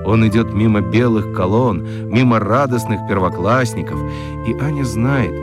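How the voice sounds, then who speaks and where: A man narrating a children's radio story expressively, with dramatic, deliberate emphasis. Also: fairly low pitch, over loud music.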